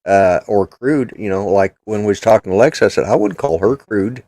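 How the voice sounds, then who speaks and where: A man's voice: lowish voice, lively intonation, normal speed, mellow, dry recording. An older man hosting a casual political chat show, talking in a blunt, rambling, opinionated way. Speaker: podcast host.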